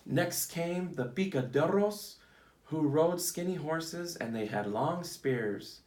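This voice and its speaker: A man's voice: medium pitch, a little mellow. A man reading a picture book aloud to children in simple, teacherly phrasing, prompting listeners as he goes. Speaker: read-aloud storyteller for children. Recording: echoey, roomy sound.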